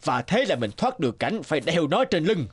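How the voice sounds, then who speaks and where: A man's voice: fairly high pitch, sing-song, a little fast, husky, soft background music. A man playing a greedy, flustered rich husband in a children's fairy-tale cartoon, muttering and exclaiming theatrically. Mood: gleeful.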